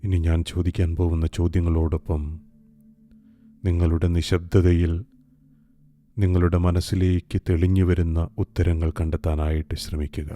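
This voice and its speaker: A man guiding a meditation in a calm, soft, hushed way. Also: low-pitched, moderate pace. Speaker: meditation guide. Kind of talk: slow guided meditation. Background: soft music.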